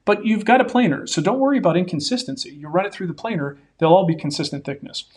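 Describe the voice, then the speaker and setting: Relaxed forties man giving shop advice. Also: high voice, fast. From Denver area. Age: 40s. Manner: relaxed, practical advice with folksy asides. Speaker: woodworking show co-host. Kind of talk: live-stream Q&A, casual chat.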